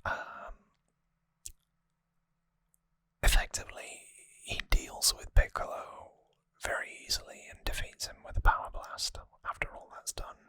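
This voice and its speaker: An ASMR host whispering a trivia recap gently and hesitantly, close to the microphone. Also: moderate pace, dry recording. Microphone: close microphone, dry sound.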